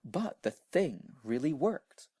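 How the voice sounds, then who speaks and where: Scottish guy reading a kids rhyming book, really expressive, takes his time, emphatic. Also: fairly high pitch, takes it slowly, husky, no echo, clean studio sound. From Scotland. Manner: theatrical, emphatic. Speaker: storyteller. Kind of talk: animated reading of a rhyming children's storybook.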